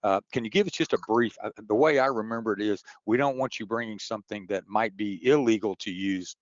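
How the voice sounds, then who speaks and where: Male webinar host, very deep voice, informal official briefing, rephrases often, echoey muffled audio. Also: a little fast. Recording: dull, roomy webinar audio.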